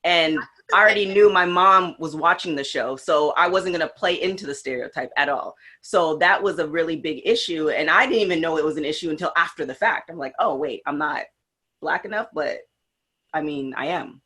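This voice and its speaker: Bright, forceful woman from Canada. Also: sits low, a little fast, slightly rough. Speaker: talk show co-host. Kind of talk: casual panel chat.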